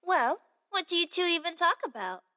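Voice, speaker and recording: Actress as a high-pitched, gossipy young palace friend. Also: female voice, sing-song, moderate pace, sharp tone, full character voice. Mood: intrigued, encouraging. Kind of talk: fantasy audio drama acting.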